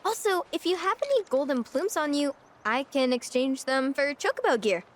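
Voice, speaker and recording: A sweet little ranch girl for a video game. She is high-pitched and lilting, earnest and polite with a touch of shyness. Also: lively intonation, normal speed. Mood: gentle, helpful. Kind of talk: video game cutscene acting.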